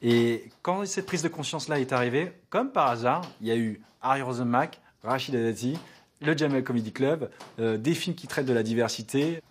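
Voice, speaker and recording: A man giving his opinion in an interview, fast and searching for words. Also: medium pitch, a little hiss or hum.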